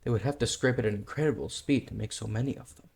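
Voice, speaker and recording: An actor playing a calm, independent young tribesman, a stubborn younger brother, in a dramatic manga dub with an earnest, measured manner. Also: mid-range voice, creaky, close to an everyday voice. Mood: intrigued, calm.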